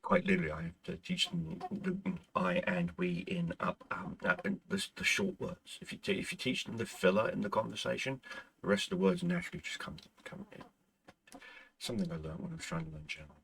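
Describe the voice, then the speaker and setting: A man's voice: lowish voice, sing-song, brisk, vocal fry, a bit bright, slight background noise, poor audio. A man whose first language is Irish, hosting a gaming channel in casual, rambling chat with viewers and stumbling over restarts as he is losing his voice.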